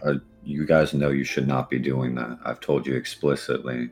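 A man with a very deep, husky voice plays a tabletop game, talking in the first person as his character. His lines come across as a player speaking in character rather than a narrator. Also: flat delivery, moderate pace, soft background music.

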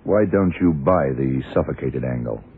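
An actor playing a cool, wry blind private detective in an old radio drama, clipped and commanding. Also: male voice, very deep voice, animated, moderate pace, slightly rough, full character voice. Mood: cool, probing. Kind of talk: 1970s radio drama acting. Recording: narrow, hissy old radio recording.

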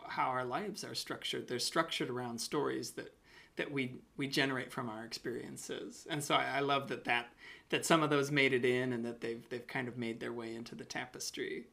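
A man's voice: medium pitch, steady tone, normal speed, gravelly, pushed and bright. A man hosting a conversational podcast interview, reflective and appreciative as he responds and asks questions.

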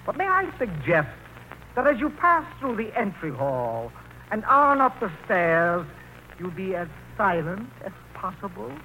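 A man's voice: high voice, sing-song, measured pace, bright, forceful tone, heavily acted. An actor playing an imperious, coldly polite older man with pompous, precise, formal speech in 1940s radio drama style. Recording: muffled, noisy old radio broadcast.

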